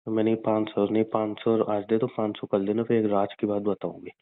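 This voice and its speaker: A man's voice: fairly low pitch, level tone, fast talker. A young man bickering and teasing casually with his girlfriend over a phone call. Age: young adult. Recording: narrow tinny phone-line sound.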